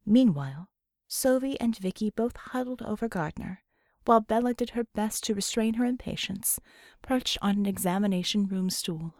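A woman's voice: medium pitch, darkish tone, no room sound, clean studio sound. A woman reading scripted fiction as an audiobook narrator.

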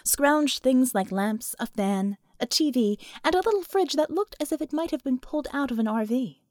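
A woman reading scripted fiction as an audiobook narrator, with expressive, dramatic storytelling. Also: high-pitched, normal speed.

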